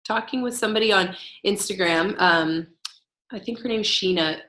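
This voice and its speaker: A woman's voice: medium pitch, moderate pace. Brief: easygoing woman co-host, casual chat, recorded in an echoey room. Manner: relaxed, easygoing, uses lots of fillers. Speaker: podcast co-host.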